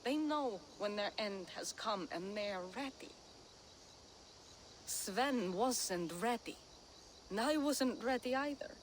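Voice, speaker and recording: A woman playing a grieving, unsure young apprentice artist in a video game drama, speaking haltingly and tenderly. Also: on the high side, animated, a little hiss or hum. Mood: sorrowful, unsure.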